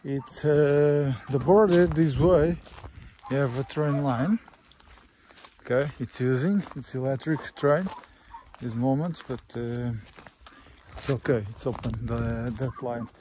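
A man with a Portuguese accent giving an informal property walkthrough as an estate agent, in halting, simple English with short phrases. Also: medium pitch, mellow. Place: outdoors on a rural farm. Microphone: handheld phone or camera held by speaker. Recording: outdoor handheld recording with faint background noise.

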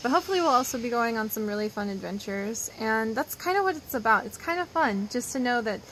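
A young woman with a somewhat high voice chats to her viewers in a loose, gushing way, rambling from thought to thought. She sits out on a wooden deck among trees, the camera held close to her. Faint outdoor noise hangs in the background. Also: lively intonation, fast talker, fairly bright tone, poor audio. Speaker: vlogger. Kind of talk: casual chatty vlog. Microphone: phone or camera held close.

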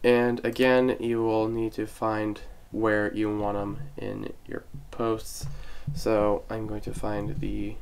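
A man's voice: on the low side, completely flat delivery, slow pace, gravelly, hardly any pauses, a little mellow, a little hiss or hum. A young man getting over a cold, chatting casually through a step-by-step website tutorial with self-deprecating asides. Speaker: tech tutorial host. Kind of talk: casual screen-recorded tutorial.

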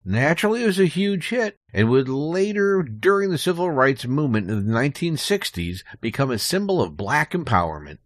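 A man's voice: a little high, big pitch swings, a little fast, few pauses, dry recording, studio quality. A man speaking as a podcast guest, giving an explanatory history talk in an interview. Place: small recording booth.

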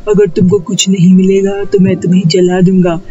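A man's voice: high voice, flat delivery, normal speed, mellow, dull audio, faint music behind, close to an everyday voice. A man voicing a menacing haunted talking tree in a cartoon moral tale, threatening and declarative.

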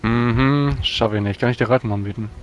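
A man's voice: medium pitch, fairly quick, a little hiss or hum. A man chatting casually as a player in a tabletop role-playing game.

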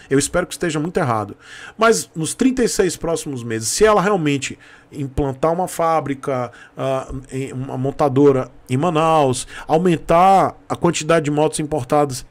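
A man in his thirties with a Bahian Brazilian accent, giving informal, emphatic opinion commentary to camera as a video host, close to a desk mic in a home room. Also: fairly high pitch. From Salvador, Bahia, Brazil. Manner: emphatic, repeats points for stress. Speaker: YouTube host, motorcycle commentator. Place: small home room with shelves, indoors. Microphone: desk microphone close in front.

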